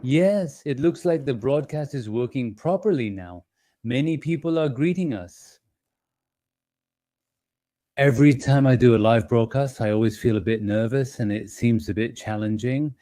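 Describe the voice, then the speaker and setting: A man hosting an investing channel, talking in a gentle, reassuring and slightly apologetic way to his members, with small fillers as he thinks aloud. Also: medium pitch, moderate pace, no echo, clean studio sound. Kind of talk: casual live-stream talk to members.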